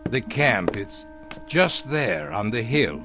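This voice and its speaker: A man's voice: on the low side, big pitch swings, slow, over music. An actor playing a smug middle-aged German former Nazi officer who poses as a tourist, coy, falsely casual and hesitant in his probing. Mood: smug, nostalgic. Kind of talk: radio drama acting.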